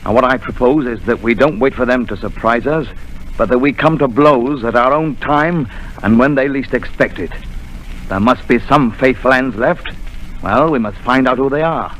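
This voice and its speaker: An actor playing a blunt, curt ship's captain in a 1930s radio drama, heard on an old broadcast recording with music. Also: male voice, mid-range voice, normal speed, a bit bright, a little hiss or hum, lightly acted, rough recording. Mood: resolute.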